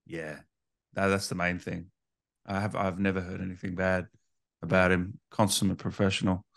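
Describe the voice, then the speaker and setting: A man with a low voice interviews a guest in a casual, conversational way. He puts questions informally, often tagging them on the end. The sound is close and dry. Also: little pitch movement, normal speed, vocal fry, dry recording. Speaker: interviewer, podcast host.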